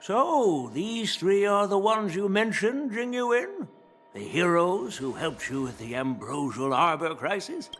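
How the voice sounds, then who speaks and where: Huaiyan, a genial old general. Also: male voice, high-pitched, measured pace, full character voice. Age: older. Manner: relaxed, chuckling, avoids taking sides. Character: genial elderly male general, easygoing grandfatherly. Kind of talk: game cutscene voice acting. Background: background music.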